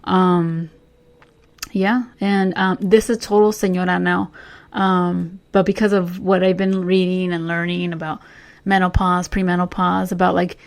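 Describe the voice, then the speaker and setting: A woman's voice: fairly low pitch, little pitch movement, measured pace, gravelly. A woman in her forties co-hosting a casual chat, rambling and searching for words, speeding up in bursts. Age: 40s. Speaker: podcast co-host.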